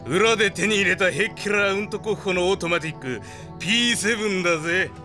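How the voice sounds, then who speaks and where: A man's voice: high voice, quick pace, over music, close to an everyday voice. A man playing a mocking, threatening hoodlum in a Japanese audio drama, with exaggerated jeering.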